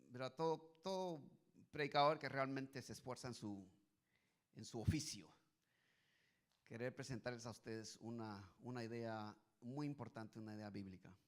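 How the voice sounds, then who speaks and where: Gravelly-voiced Guatemalan pastor. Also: male voice, mid-range voice. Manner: hesitant, many restarts and fillers, rising to emphatic preaching. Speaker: guest pastor. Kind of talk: sermon.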